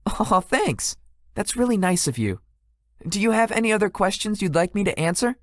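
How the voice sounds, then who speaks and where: A woman's voice: medium pitch, expressive, normal speed, dry recording, studio quality, natural voice. A woman voicing a shy, polite young male tech executive in a visual novel, slightly formal and hesitant in manner. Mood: polite, curious. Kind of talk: visual novel character dialogue.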